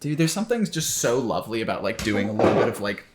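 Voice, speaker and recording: A man's voice: on the low side, big pitch swings, fast talker, heavy background noise. Laid-back young man, dude-heavy chat. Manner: laid-back, swears a lot, says "dude" and "like". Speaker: podcast co-host. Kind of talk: casual chat over lunch. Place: home kitchen, indoors.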